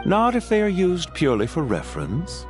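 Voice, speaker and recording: An actor playing a shrewd, guarded political power broker in a video game, terse and self-assured. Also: male voice, a little high, huge pitch swings, moderate pace, music in the background. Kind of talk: scripted video game acting.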